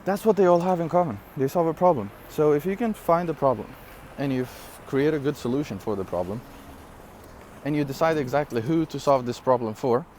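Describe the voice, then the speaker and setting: A man in his twenties from Syria, for whom English is not his first language, giving an energetic, rambling business pitch straight to camera with frequent fillers and laughs. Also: fairly quick, a little hiss or hum. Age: 20s. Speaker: online business coach. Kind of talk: casual direct-to-camera talk.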